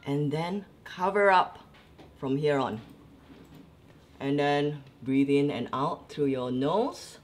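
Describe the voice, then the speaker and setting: A young woman vocal coach, from Malaysia, giving lively, chatty tips in a vlog while her voice is dry and scratchy. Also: deep voice, takes it slowly, long pauses. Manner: lively, chatty, many fillers. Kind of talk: instructional vlog.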